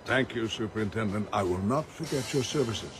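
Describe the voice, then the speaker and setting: An actor playing a coldly formal criminal mastermind in a radio play, with a measured, imperious manner. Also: male voice, fairly low pitch, lively intonation, loud music behind the voice, lightly acted. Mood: grave, courteous. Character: commanding, coldly formal criminal mastermind, middle-aged man. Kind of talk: radio drama acting.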